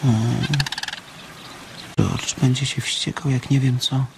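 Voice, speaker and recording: Creaky-voiced, childlike farm labourer. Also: male voice, mid-range voice, little pitch movement, slow, noisy background. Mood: fretful. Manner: childlike, plaintive. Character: big, childlike, simple-minded, fearful farm labourer. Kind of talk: radio drama acting.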